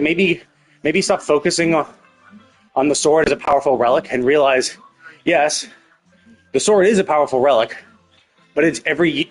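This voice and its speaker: Man rambling casually over background music. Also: a little high. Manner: rambling, thinking aloud, repeating and rephrasing himself. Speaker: live streamer. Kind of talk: casual speculative game commentary.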